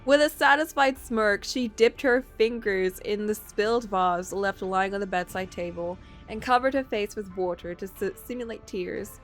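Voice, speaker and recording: A woman streamer reading a story game aloud, mixing expressive narration with casual asides. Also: medium pitch, normal speed, few pauses, faint music behind.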